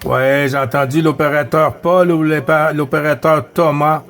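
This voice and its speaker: A Quebec man with a high-pitched voice makes casual CB radio contacts. He speaks with a Quebec French accent, calling stations and chatting as an amateur operator. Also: fairly even intonation, normal speed, a bit bright. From Quebec, Canada.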